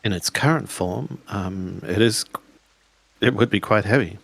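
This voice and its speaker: A man playing in a tabletop roleplaying game, halting and measured as he speaks for his character and narrates its actions. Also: on the low side, vocal fry, no room sound. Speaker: player in a tabletop roleplaying podcast.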